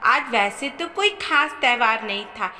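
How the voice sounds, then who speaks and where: A woman tells a family story in a high, very animated voice. Her scripted narration is emphatic and expressive, with a bright edge, and a long reverb trails her words. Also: moderate pace, soft background music.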